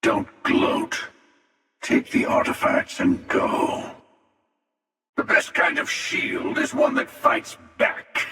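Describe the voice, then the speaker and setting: Cynical raspy sci-fi game villain, threatening, swoops around a lot, echoey and far off. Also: male voice, very high voice, wildly swooping pitch, pushed and bright, heavily acted. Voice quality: gravelly, breathy rasp. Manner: menacing, sardonic, deliberate. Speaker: video game character voice. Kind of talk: dramatic sci-fi game dialogue.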